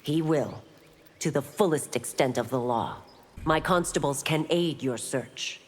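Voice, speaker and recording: Stern warrior constable, cavernous reverb. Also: female voice, very deep voice, measured pace. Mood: measured, formal. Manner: formal, firm, authoritative. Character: stern, dutiful female martial-arts warrior constable. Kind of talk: video game character dialogue. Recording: long hall-like reverb.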